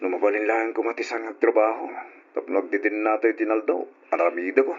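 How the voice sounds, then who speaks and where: A man's voice: fairly low pitch, quick pace, close to an everyday voice. An actor playing a doting young seaman husband and new father, exuberant and teasing, with a Filipino accent, in an old-style radio drama. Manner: exuberant, teasing, given to whooping and chuckling. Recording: dull, muffled old radio drama recording.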